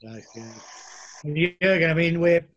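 A man's voice: on the high side, slow, fairly bright tone, muffled recording, slight background noise. A man interviewing a new signing for his club, asking friendly, easygoing questions.

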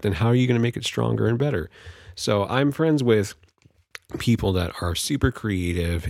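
Male podcast host, Californian accent, low gravelly voice, informal and rambling, recorded dry and close. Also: a little mellow, no room sound. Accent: Californian American. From California, United States. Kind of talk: casual solo podcast monologue.

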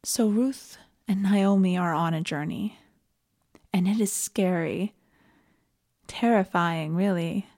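A woman's voice: lowish voice, darkish tone. Reflective Canadian woman preaching. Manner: earnest, measured, reflective. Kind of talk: reflective sermon, scripted.

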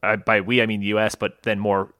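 A man's voice: on the low side, little pitch movement, a little fast, a bit bright, dry recording, studio quality. A man co-hosting a podcast, chatting casually in a laid-back, agreeable way. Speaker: podcast co-host. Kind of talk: casual chat.

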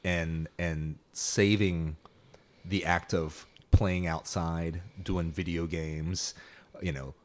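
A man's voice: fairly even intonation, slow pace, a little mellow. A middle-aged man hosting a podcast, talking casually with many fillers and restarts. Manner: rambling, hesitant, laughs into high pitch. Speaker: podcast host. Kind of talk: casual chat.

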